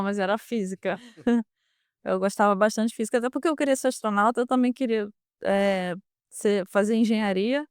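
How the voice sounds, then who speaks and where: A woman's voice: mid-range voice, clear, resonant tone, no room sound, studio quality. A young woman with a Brazilian Portuguese accent, a guest in an interview, answering casually with many hesitations and restarts. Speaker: guest, former student now a merchant navy officer.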